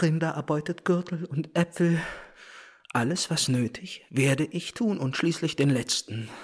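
A man acting as an impassioned tragic chorus narrator in a theatrical radio play, declaiming myth with obsessive repetitions. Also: on the high side. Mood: agitated, then mournful, grave. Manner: declamatory, repeats phrases obsessively, shifts between whisper and cry. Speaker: actor narrating and reciting. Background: music.

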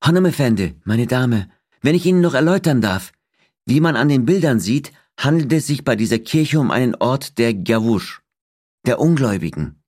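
A young Turkish village woman, played by a male audiobook reader, speaks in a lifted pitch with polite, pious explanations. Her words carry a grudge, and the sound is dry and close. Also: expressive, normal speed, a little mellow, dry recording, natural voice. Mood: polite, explanatory. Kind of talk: audiobook character dialogue.

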